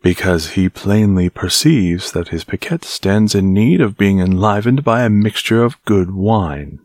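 Guy doing a fuming musketeer captain, deep voice, bellowing at his men, dry close sound. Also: expressive, measured pace, no echo. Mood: angry. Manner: scolding, shouting. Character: angry middle-aged male captain of musketeers.

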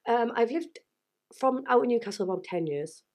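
A woman's voice: a little high, lively intonation, normal speed, darkish tone. A woman in her thirties from North East England with a Geordie accent, co-hosting a podcast in frank, chatty talk that drops in casual swearing. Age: 30s. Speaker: podcast co-host. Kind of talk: casual chat.

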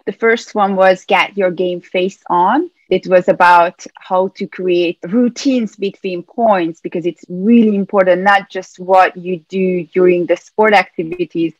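Lower-voiced woman coach. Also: darkish tone, no echo, highs cut off. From Hungary. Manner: earnest, explains step by step with small restarts. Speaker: mental performance coach, guest. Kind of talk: interview answers.

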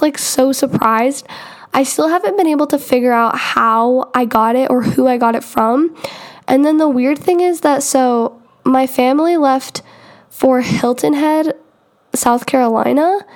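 A young woman hosting her own podcast, talking casually with lots of fillers and restarts while getting over an illness. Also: high-pitched. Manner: chatty, rambling, many "um" and "like" fillers. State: recovering from illness, congested. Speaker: podcast host. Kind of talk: casual solo podcast chat.

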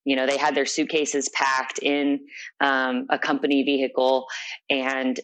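A woman's voice: very deep voice, little pitch movement, unhurried, fairly bright tone. A young adult woman being interviewed, telling a personal story in an earnest, reflective way. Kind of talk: personal account in conversation.

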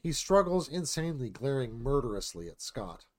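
A man reads screenplay directions as a narrator in a live performance. His voice is mid-pitched and lively, moving up and down with the action. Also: normal speed. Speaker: narrator reading stage directions.

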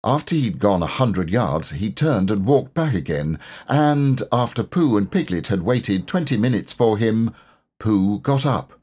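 A man's voice: on the low side, lively intonation, a little slow, a little mellow. A man reading a children's story aloud as scripted narration. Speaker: storybook reader, voice actor.

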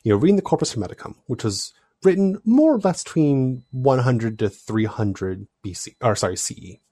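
A man in casual discussion, explaining his ideas in a thoughtful, animated way. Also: medium pitch, normal speed, slightly rough, no echo.

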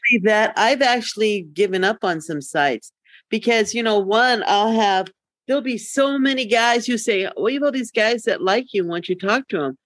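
A woman's voice: medium pitch, normal speed, no echo. A middle-aged woman podcast co-host chatting casually in a candid, rambling way. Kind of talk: casual chat.

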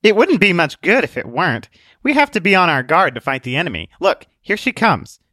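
A man's voice: sits high, fairly quick, no room sound, clean studio sound, voice pushed up, exaggerated voice. A man voicing a pushy, sarcastic young female sniper in a storybook reading. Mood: brash. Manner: bossy, sarcastic, excitable.

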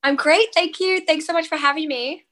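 A woman from the outback, a guest in a podcast interview, telling her story in lively conversation. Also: sits high, normal speed. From Australia. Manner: animated storytelling with light laughs. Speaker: guest nutritionist.

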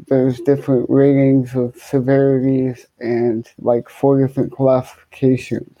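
A man with a speech impairment that slurs his words, answering interview questions conversationally as a guest musician, in a deliberate way with repeated words. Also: mid-range voice, no echo, lo-fi sound. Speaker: podcast guest, guitarist and musician.

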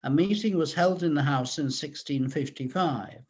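A man reading a researched historical account aloud in a measured, formal way, with the occasional stumble. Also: fairly high pitch, a little mellow, husky. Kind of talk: scripted historical narration, read aloud.

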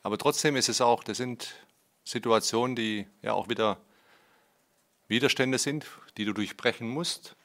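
A man's voice: medium pitch, moderate pace, a bit bright. A man answering press questions as a club's managing director, rambling with restarts, fillers and frequent "ja".

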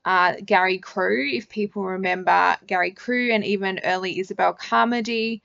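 A woman's voice: mid-range voice, fairly even intonation, moderate pace, vocal fry, fairly bright tone, no room sound, lo-fi sound. An Australian woman hosting a podcast, asking questions and chatting casually about books in an interview.

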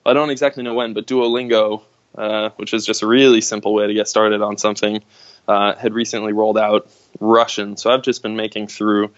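A man chatting casually in a conversation, talking haltingly with many fillers and restarts. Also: on the low side, level tone, dry recording. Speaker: guest. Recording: dull lo-fi sound.

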